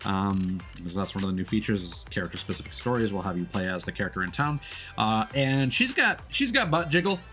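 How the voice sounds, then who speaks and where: A man chats casually through a game livestream, rambling into joking asides. His pitch moves around in a lively way, and faint music plays behind him. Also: brisk. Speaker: game streamer. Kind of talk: casual livestream commentary while playing.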